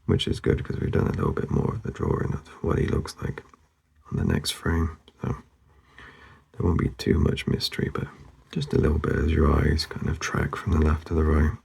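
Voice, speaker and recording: A man narrating casually as he draws, laid-back and murmuring, with a husky, gravelly voice that often trails off. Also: extremely low pitch, animated, normal speed, darkish tone. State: losing his voice, hoarse. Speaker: artist hosting a drawing channel. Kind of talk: casual narration while drawing.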